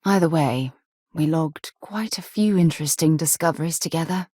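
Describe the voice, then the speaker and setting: A woman's voice: deep voice, normal speed, warm tone, husky, no echo, clean studio sound. A woman voicing a composed, articulate and principled space explorer and expedition leader in a video game. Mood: composed, earnest. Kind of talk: video game companion dialogue.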